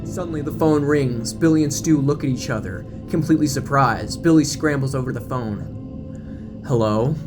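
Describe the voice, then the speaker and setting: A man's voice: mid-range voice, moderate pace, music in the background. A man giving dramatic scripted narration as the narrator of a horror audio drama.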